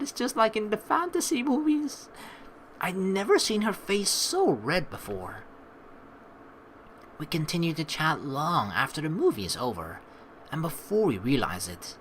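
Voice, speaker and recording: A woman's voice: lowish voice, a little hiss or hum. A woman streamer reading a game's text aloud and adding casual, teasing asides of her own. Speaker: let's play streamer. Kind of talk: reading game text aloud with playful commentary. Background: game music.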